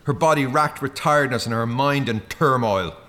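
An actor in a radio play playing a stern, knowing spirit guide with an Irish accent and a wry, pointed, reproachful delivery. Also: male voice, medium pitch, moderate pace, fairly bright tone, lightly acted. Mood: stern accusing.

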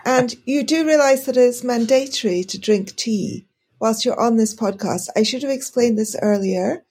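A woman's voice: a little high, normal speed, darkish tone, breathy edge. A woman hosting a podcast interview, polite and inquisitive, on a video call. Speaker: podcast host.

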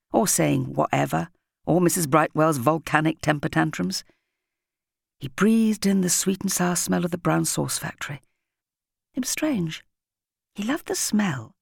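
A woman narrating a children's audiobook in an expressive, dramatic storytelling manner with varied emphasis. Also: deep voice, gravelly, dry recording, studio quality.

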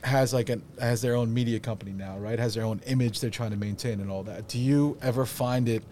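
A man's voice: medium pitch, fairly even intonation, a little fast, dark tone, a little hiss or hum. A man hosting a casual podcast, asking long, rambling questions full of fillers.

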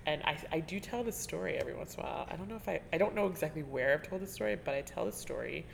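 A woman interviewing a colleague in a relaxed, chatty way, often trailing off and restarting and laughing as she talks. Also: low voice, racing, gravelly, a little hiss or hum. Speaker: interviewer, teaching artist. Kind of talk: conversational interview. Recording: reverberant room with faint ambience.

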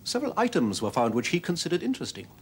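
An actor playing a cold, probing SS major, speaking with a slight German accent in a clipped, interrogating way. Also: male voice, fairly high pitch, wildly swooping pitch, very fast, slight background noise. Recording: drama clip with music behind.

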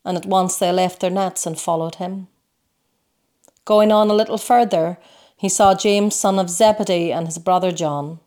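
A woman's voice: fairly low pitch, fairly even intonation, normal speed, warm tone. A woman of the clergy reading from the Gospel in a formal style.

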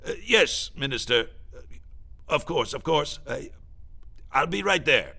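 An actor playing an evasive, genteel deputy minister in a satirical audio drama, wordy, formal and hesitant, with a drily macabre, blandly menacing air. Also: male voice, on the high side, lively intonation, a little slow, creaky, sharp tone, full character voice. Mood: flustered deference.

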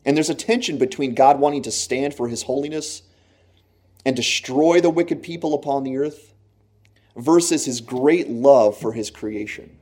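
Pastor, male, warm mellow tone, earnest and conversational, slows down for emphasis. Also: mid-range voice. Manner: earnest, conversational preaching with slow emphatic phrases; laughs at own jokes. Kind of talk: sermon.

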